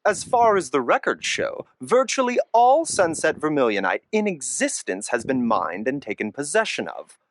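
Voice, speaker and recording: A man playing a courteous, genteel herbalist shopkeeper in a video game, polite and expressive. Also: on the high side, normal speed, few pauses, slight background noise. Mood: informative, courteous. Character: courteous, soft-mannered male herbalist shopkeeper, unhurried, knowing.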